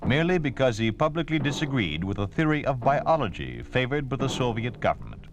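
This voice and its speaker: A man's voice: medium pitch, big pitch swings, brisk. An American man announcing on 1950s radio, reading a solemn script. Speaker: announcer, military sergeant.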